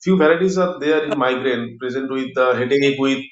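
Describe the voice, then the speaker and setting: A man with an Indian accent, a neurologist guest explaining medical matters in a halting, repetitive, teacherly way. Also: medium pitch. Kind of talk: explaining medical topic in interview. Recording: dull, echoey remote call audio.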